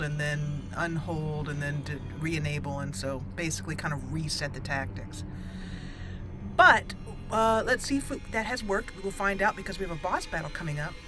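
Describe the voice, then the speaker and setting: A woman hosting a roleplay video game series, chatting casually with frequent fillers and self-corrections. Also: lowish voice. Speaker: let's play host.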